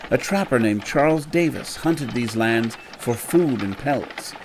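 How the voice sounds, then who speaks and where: A man playing a guide who tells grim local history in a measured, grave storytelling way in an audio drama. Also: medium pitch, slight background noise, natural voice.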